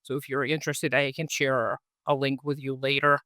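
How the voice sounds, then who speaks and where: A man, speaking from home as a podcast guest in a relaxed, reflective way, with lots of "uh" and "so". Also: mid-range voice, normal speed, dry recording, clean studio sound. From Kazakhstan. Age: 30s. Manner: friendly, reflective storyteller with frequent "uh" and "so". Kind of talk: casual interview chat.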